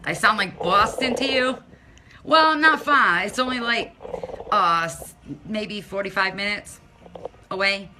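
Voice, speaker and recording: A middle-aged woman from Massachusetts with a non-rhotic local accent, chatting casually on a phone live stream from her home, loud and talking to viewers by name. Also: medium pitch, a little slow, over music. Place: home, by a window. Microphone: phone held at arm's length.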